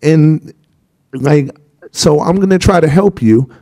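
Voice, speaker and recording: A man's voice: fairly high pitch, slow pace, dark tone. A man speaking on a university panel in a reflective, hesitant way, with frequent fillers and restarts. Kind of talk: panel discussion.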